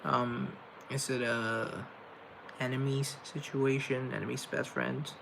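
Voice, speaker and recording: Gravelly young man chatting on a livestream. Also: medium pitch, steady tone, slow pace, background noise. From Colombia. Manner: rambling, thinks aloud, reads viewer comments. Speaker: livestream host reading chat. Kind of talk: casual livestream chat. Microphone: laptop mic.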